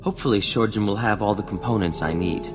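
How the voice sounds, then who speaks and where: An actor playing a vain, refined scientist-inventor in a video game cutscene, speaking in a formal, theatrical and florid way. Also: male voice, lowish voice, fast talker, lightly acted. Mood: pleased, courteous. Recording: long reverb, music underneath.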